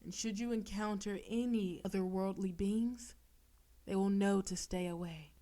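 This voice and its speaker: Slow, possessive demon girlfriend, voiced by a woman. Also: medium pitch, steady tone, natural voice. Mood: commanding, soothing. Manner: slow, teasing, softly commanding, lapsing into breathy whispers. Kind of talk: intimate audio roleplay addressed to the listener.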